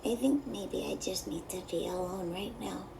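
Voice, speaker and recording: A woman voicing a spunky, cheeky little girl racer in an animated film, perky and informal. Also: mid-range voice, moderate pace, creaky, distant mic, noisy background, lightly acted. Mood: downcast.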